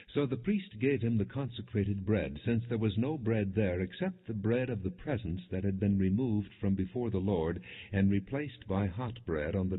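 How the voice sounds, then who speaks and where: A man narrating scripture as an audiobook, reading the story and its quoted dialogue in a measured, expressive way. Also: lowish voice, slightly rough. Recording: muffled narrowband, phone-like audio.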